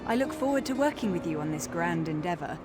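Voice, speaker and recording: An actress playing a gracious, earnest orc high priestess in a video game, speaking in a formal, stately, welcoming manner. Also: female voice, animated, quick pace, loud music behind the voice, natural voice. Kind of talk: video game character acting.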